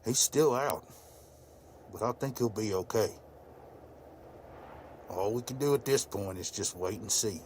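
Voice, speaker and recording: A man's voice: fairly low pitch, measured pace, long pauses, darkish tone, slight background noise, natural voice. A man playing an older, devout, plain-spoken rural farmer in a motion comic. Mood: calm, steady. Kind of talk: dramatized motion comic dialogue.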